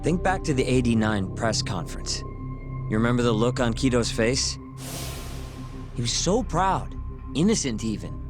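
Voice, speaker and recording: A blunt, hot-tempered skeptic in a crime drama game. His delivery is casual and confrontational, with lively swings in a mid-pitched voice. Music plays clearly behind him. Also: moderate pace, pauses often. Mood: skeptical. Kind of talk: dramatic video game voice acting.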